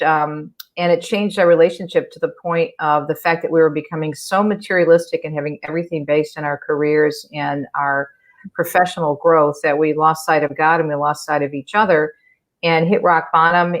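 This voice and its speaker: Low-voiced woman telling her story. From New Jersey. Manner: earnest, storytelling. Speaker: guest, radio host. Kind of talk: casual interview chat.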